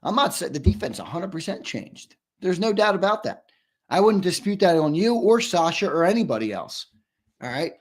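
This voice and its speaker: A hoarse-sounding man hosting a live sports talk show, pushing back hard on viewer comments. He pitches his voice a little high and keeps hammering his points with repetition. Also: sing-song, normal speed. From United States. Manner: argumentative, emphatic, repeats himself for stress.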